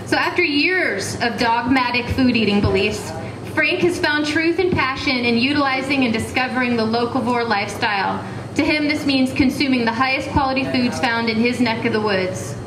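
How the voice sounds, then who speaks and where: A woman's voice: a little high, moderate pace, hardly any pauses. A woman hosting a live cafe talk, reading a guest introduction and asking questions, slightly flustered and stumbling over words. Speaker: event host. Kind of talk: reading an introduction, then interviewing. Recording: distant echoey mic with background noise.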